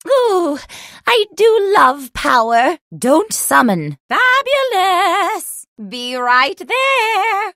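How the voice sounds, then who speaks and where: Elderly witch granny, video game character, slow theatrical delivery, wildly varied pitch, doting, teasing, close dry recording. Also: a bit bright, no room sound, exaggerated voice. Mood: gleeful, teasing. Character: eccentric old granny witch, cheeky, doting and battle-ready.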